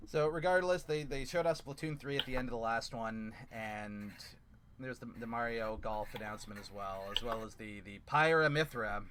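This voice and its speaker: A man's voice: mid-range voice, normal speed, noisy background. A man hosting a casual gaming chat on an online call, rambling with lots of fillers and the odd swear. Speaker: podcast host.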